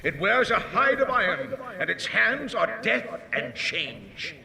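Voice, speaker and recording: An actor playing a zealous cult preacher, delivering a grandiose, incantatory sermon for a video game. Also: male voice, high voice, sing-song, slow pace, vocal fry, crowd noise, exaggerated voice. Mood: alarmed, rousing. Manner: incantatory, grandiose, rising to cries. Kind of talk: theatrical sermon.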